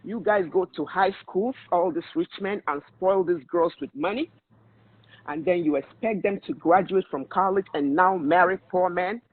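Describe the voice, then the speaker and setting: A man with a Nigerian accent holds forth on a podcast, laying down blunt advice in a lecturing tone. His voice runs high and has a husky grain. The sound is narrow and tinny, like a phone call. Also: moderate pace. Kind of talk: opinionated podcast discussion. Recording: tinny phone-line audio.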